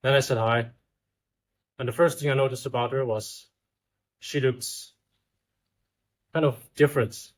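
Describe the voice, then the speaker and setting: A man's voice: mid-range voice, steady tone, unhurried, long pauses. A man in his twenties, raised in the Netherlands, chats as a podcast guest. He is easygoing and joking and restarts his phrases now and then. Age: 20s. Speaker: guest, researcher. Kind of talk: casual chat. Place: outdoors in Bali.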